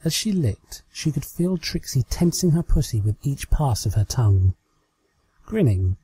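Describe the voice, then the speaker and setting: A man's voice: mid-range voice, mellow, slightly rough, rough recording. A British man reading a story aloud as a scripted amateur narration. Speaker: amateur fan fiction reader.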